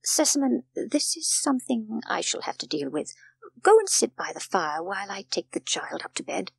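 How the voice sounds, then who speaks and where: Woman playing a kindly grey-haired gentleman doctor, husky. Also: mid-range voice, big pitch swings, moderate pace, dry recording, heavily acted, low-quality recording. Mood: firm. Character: kindly, jovial grey-haired male doctor, calm and reassuring.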